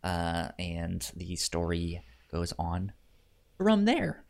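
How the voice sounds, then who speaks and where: A man's voice: low voice, huge pitch swings, extremely slow, creaky. A man hosting a casual film podcast, who stammers and often repeats the beginnings of words. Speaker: podcast host.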